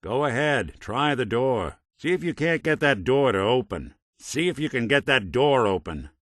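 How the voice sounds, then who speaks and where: An actor playing a world-weary, wry robot private detective in a video game, with a clipped hard-boiled gumshoe delivery. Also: male voice, mid-range voice, very animated, moderate pace, a bit bright, dry recording, studio quality. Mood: businesslike. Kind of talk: scripted game character voice lines.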